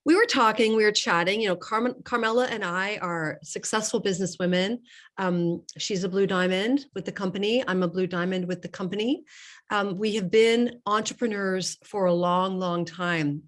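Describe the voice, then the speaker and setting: A woman's voice: medium pitch, moderate pace, a bit bright. A middle-aged woman getting over a slight cold, hosting a casual online group call in an emphatic, urging way, repeating key phrases for weight. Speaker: host and network marketing business coach.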